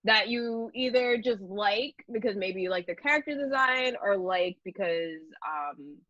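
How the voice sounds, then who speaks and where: A woman's voice: medium pitch, expressive, unhurried, a bit bright, no echo, lo-fi sound. A woman interviewer chatting casually, with a playful, giggly manner.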